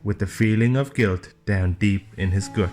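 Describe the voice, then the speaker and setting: Man, low register, father reading a bedtime picture book with casual playful asides over faint music. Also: moderate pace, a little mellow. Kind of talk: storybook read-aloud with casual asides. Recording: music playing behind the voice. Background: plucked guitar music.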